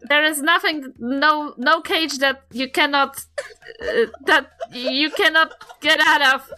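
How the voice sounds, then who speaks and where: A woman chatting casually as a player in a tabletop role-playing game, giggly and thinking out loud as she goes. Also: high-pitched, fairly even intonation. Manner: halting, thinking aloud, giggly. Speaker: player in a tabletop RPG podcast.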